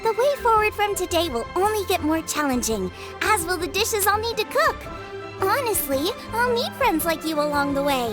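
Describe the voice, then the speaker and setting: A woman's voice: very high voice, sing-song, normal speed. A woman voicing a cheerful, supportive young girl cook in a video game, earnest and reassuring. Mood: warm, earnest. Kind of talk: animated game voice acting. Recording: music behind the voice.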